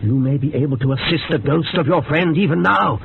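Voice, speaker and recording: An actor playing an obsessed, overwrought scientist in a radio horror drama, excitable, urgent and imperious as he commands his subjects. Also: a little high, brisk, gravelly, phone-quality sound, slight background noise. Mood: feverish urging.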